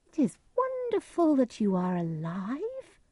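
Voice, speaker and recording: A woman's voice: sits high, wildly swooping pitch, measured pace, darkish tone, dry recording, studio quality, voice pushed up, heavily acted. A woman voicing a nobleman's son disguised as a strange helper, exclaiming and coaxing in a storybook tale. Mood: astonished, coaxing.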